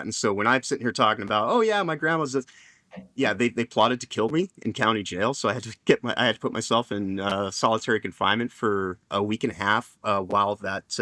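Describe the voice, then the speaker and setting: A man's voice: fairly low pitch, sharp tone, dry recording. Rambling man telling a story, dry sound. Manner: rambling, many fillers and restarts. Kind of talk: casual panel chat, long personal story.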